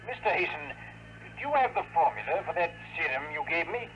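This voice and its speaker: Earnest researcher, excited, halting, animated intonation, old radio drama, faint noise on the recording. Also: male voice, fairly high pitch. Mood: astonished, eager. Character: amazed, earnest male researcher reporting test results. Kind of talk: 1950s radio drama acting. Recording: noisy old radio, telephone-call scene.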